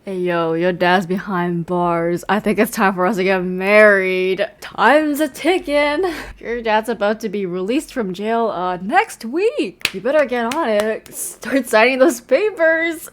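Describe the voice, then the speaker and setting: A woman's voice: medium pitch, animated, measured pace, few pauses, slight background noise. A young woman giving casual, joking game commentary with slangy, playfully dramatic delivery. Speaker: game streamer.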